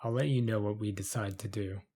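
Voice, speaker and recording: A man playing a tired, guarded fugitive in a fantasy audio drama, speaking in a low-key way. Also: fairly even intonation, brisk, dark tone. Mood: worried, guarded. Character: weary, wary fugitive man in a fantasy town.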